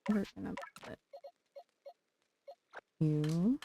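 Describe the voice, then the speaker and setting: A woman's voice: on the low side, very slow, gravelly, mellow, a little hiss or hum. A woman giving casual livestream commentary on a video game, muttering to herself as she plays.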